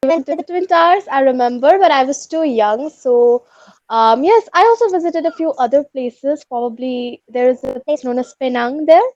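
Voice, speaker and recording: A woman from India with an Indian accent, chatting politely as a language student and pausing to search for words. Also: high-pitched, darkish tone, no echo. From India, West Bengal. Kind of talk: casual video-call chat. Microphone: video call. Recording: dull, dry video-call audio.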